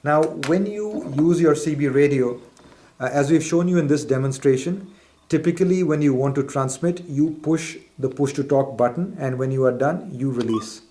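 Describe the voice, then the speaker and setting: A man's voice: fairly high pitch, dark tone. A man presenting an unscripted instructional walkthrough as a product demonstrator, explaining methodically in fairly formal wording.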